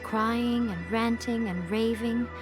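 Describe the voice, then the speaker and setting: A woman's voice: a little high, slow, over music. A woman voicing a sly, playful shrine priestess who teases in a coy manner, in a video game. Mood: teasing. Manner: teasing, coy.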